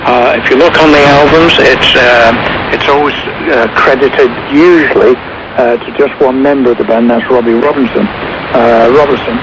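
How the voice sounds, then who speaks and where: A man giving casual music commentary as a radio guest, rambling and pausing mid-phrase. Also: medium pitch, fairly even intonation. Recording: muffled shortwave radio with clear static. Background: shortwave static and noise.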